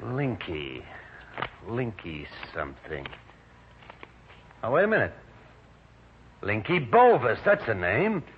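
An actor playing an eager, chatty, folksy newspaper reporter in an old-time radio drama. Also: male voice, fairly low pitch, big pitch swings, slow pace, pauses often. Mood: animated, thoughtful. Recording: tinny, noisy old radio recording.

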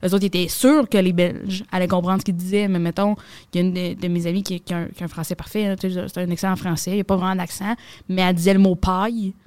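A woman's voice: lowish voice, smooth, dry recording. A young woman comedian with a Québécois accent, telling stories in a casual, slangy podcast chat. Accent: Québécois French. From Quebec. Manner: rapid, slangy, animated storytelling. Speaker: comedian guest.

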